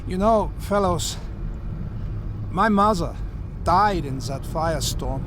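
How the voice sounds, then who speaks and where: High-voiced German cab driver. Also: male voice, expressive, slow. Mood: friendly, matter-of-fact. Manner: plain broken English, matter-of-fact and friendly. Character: chatty German cab driver, former prisoner of war. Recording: noisy car and street background. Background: car and outdoor traffic noise.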